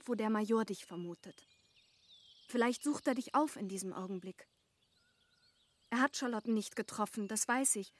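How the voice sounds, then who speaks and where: A woman's voice: fairly high pitch, a little mellow, natural voice. An actress playing a devoted, self-denying young woman in a German radio play, earnest and pleading in formal literary speech.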